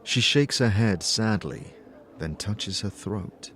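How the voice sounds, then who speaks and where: A man narrating a fantasy story in a scripted, dramatic style, with measured, descriptive delivery. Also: lowish voice, animated, fairly bright tone, a little hiss or hum.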